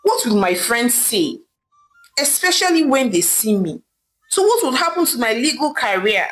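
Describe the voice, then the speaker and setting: A distraught young daughter from a folktale, acted out by a woman storyteller. She pleads and exclaims in a high, very animated voice, repeating herself in indignation. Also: moderate pace, faint music behind. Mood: anguished.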